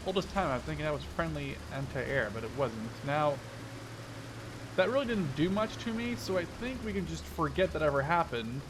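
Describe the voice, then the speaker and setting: A man's voice: on the high side, lively intonation, normal speed. A man in his twenties giving casual, chatty, playful gameplay commentary, often thinking aloud as he plays. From Canada-based, Dutch. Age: 20s. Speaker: gaming video host. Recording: vehicle and game noise behind voice. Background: tank game sounds.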